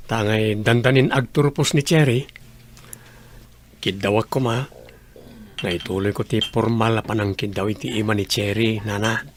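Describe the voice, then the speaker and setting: A man with an Ilocano accent and a slightly husky voice, acting an earnest, humble young village suitor in a radio drama. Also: fairly low pitch, steady tone, moderate pace, pauses often, a little hiss or hum, natural voice. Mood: hesitant, earnest.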